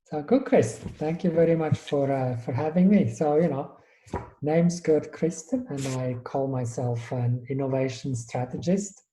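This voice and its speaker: A man speaks as an interview guest with a very dark, mellow tone. He thinks aloud and often restarts his sentences. The room around him gives a noticeable echo. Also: medium pitch. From Switzerland. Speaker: guest, innovation strategist. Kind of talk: conversational interview.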